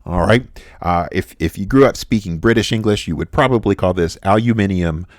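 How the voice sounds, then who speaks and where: Deep-voiced man teaching chemistry. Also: dry recording, studio quality. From United States. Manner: halting, frequent "uh" fillers and restarts. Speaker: chemistry instructor. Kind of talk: recorded lecture.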